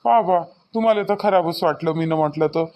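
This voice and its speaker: Guy doing a cocky young cartoon loafer, pretty high voice, varhadi accent, pushy and boastful. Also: fairly quick, dull audio, close to an everyday voice. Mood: wheedling, pestering. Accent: Varhadi Marathi from Vidarbha. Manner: boastful, wheedling, insistent. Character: cocky, pestering young male village loafer on a motorbike. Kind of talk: cartoon comedy dialogue.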